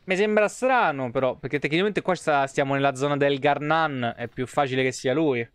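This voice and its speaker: Sweary man with a clear voice. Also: medium pitch, fairly quick, no echo. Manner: sweary, blunt, wry. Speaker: video game streamer. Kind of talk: casual live gameplay commentary.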